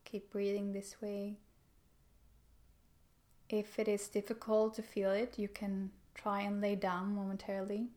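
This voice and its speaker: A woman guides a meditation in a gentle, calm voice. Her pitch sits in the middle and moves very little, giving an even, steady delivery. She gives simple instructions without hurry, leaving pauses between phrases.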